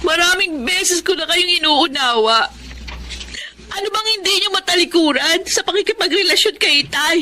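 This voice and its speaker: Very high female voice, bright, young defiant daughter, emotional, emphatic, radio melodrama. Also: moderate pace, a little hiss or hum. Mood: anguished, pleading. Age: young adult. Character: wounded, defiant young daughter, pleading yet firm. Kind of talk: melodramatic radio drama acting.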